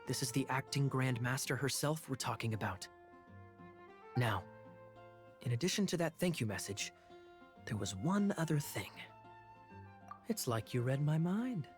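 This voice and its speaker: An actor playing a suave, sly young knight captain in an anime-style video game, smooth-talking and formally courteous. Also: male voice, medium pitch, lively intonation, unhurried, long pauses, soft background music, natural voice. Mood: courteous, teasing. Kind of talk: English anime game voice acting.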